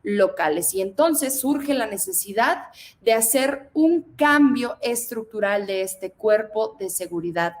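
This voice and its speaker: A woman's voice: on the high side, animated, measured pace. A Mexican woman in her twenties, a federal deputy, speaking emphatically in a political interview and addressing the audience. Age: 20s.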